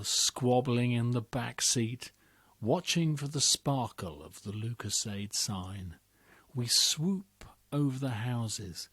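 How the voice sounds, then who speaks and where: Male poetry reader, unhurried, measured, dry close-miked read. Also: medium pitch, no room sound. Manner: measured, deliberate delivery. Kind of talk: slow dramatic poetry reading.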